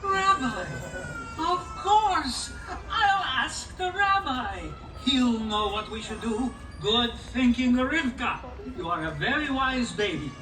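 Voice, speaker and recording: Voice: high voice, expressive, takes it slowly, noisy background. A performer playing a harried, good-natured village father in a live puppet show, exclaiming and pleading in comic exasperation. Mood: exasperated, delighted. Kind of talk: live puppet show folk tale acting. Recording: echoey live show, distant mic.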